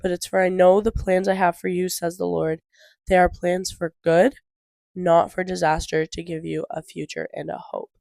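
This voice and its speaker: A woman's voice: lowish voice, level tone, normal speed, vocal fry, darkish tone, dry recording. An eighteen-year-old woman with a stuffy nose from a cold, chatting casually as a podcast co-host and filling her talk with fillers. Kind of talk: casual chat.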